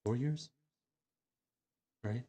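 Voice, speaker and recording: A man's voice: medium pitch, extremely slow, warm tone. A young adult man streaming, chatting casually with lots of fillers and restarts as he looks back on old videos. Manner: rambling, many fillers and restarts.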